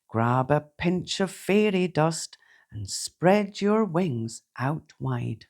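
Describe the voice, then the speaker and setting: A woman's voice: low-pitched, lively intonation, very slow, a little mellow, heavily acted. A woman voicing a commanding fairy schoolteacher in a children's storybook.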